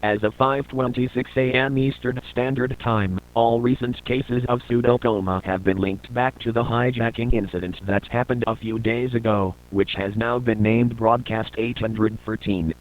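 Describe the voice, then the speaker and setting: Male alert-system announcer, measured and official, narrow lo-fi broadcast sound. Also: mid-range voice, fairly even intonation. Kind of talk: scripted emergency broadcast announcement.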